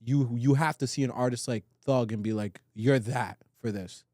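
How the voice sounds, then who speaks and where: A man with a medium-pitched voice talks casually on a podcast. He gives his opinions in slangy, emphatic phrases with some swearing. The sound is dry, with very little room in it. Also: moderate pace, mellow, dry recording. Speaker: podcast co-host.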